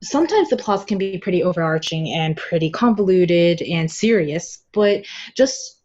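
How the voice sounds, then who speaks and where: A woman guest explaining her views in a casual discussion, pausing to find her words. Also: fairly low pitch, animated, darkish tone. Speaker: podcast guest. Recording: slightly dull, lo-fi audio.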